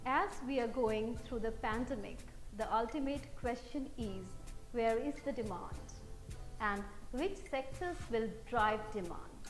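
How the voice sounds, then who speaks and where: A woman's voice: a little high, unhurried, faint music behind. A woman with an Indian accent anchoring a scripted news bulletin. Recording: roomy echo, faint background noise.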